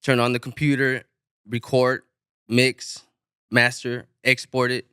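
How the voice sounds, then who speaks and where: Man with a high, smooth, bright voice. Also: slow pace, no room sound, studio quality. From Inglewood, California. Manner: relaxed, loose, swears freely, many restarts. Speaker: rapper guest. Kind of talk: casual podcast interview.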